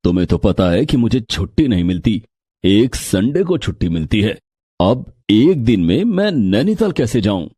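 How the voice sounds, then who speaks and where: A man voicing an earnest young family man in a dramatized storybook tale, with a husky voice. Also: fairly low pitch, sing-song, normal speed, mellow, dry recording, studio quality. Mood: questioning. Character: young husband and his brother, earnest family men.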